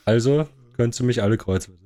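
A man's voice: mid-range voice, quick pace, background noise. A young man, co-hosting a podcast in wry casual chat, mixing in English words. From Austria. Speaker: podcast co-host.

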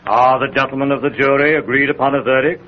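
Casting: actor as stern, formal judge, medium pitch, vintage radio play, dull sound. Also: male voice, steady tone, fast talker, close to an everyday voice. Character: formal, commanding male courtroom judge. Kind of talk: 1930s radio acting. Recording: muffled 1930s radio recording, slight noise.

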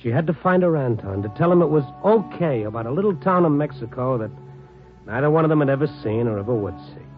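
An actor playing a world-weary, dogged American newspaper reporter, narrating and talking tersely in a 1950s radio drama. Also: male voice, medium pitch, lively intonation, fast, close to an everyday voice. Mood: weary, reflective. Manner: terse, hard-boiled, conversational. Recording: tinny old radio broadcast, music underneath.

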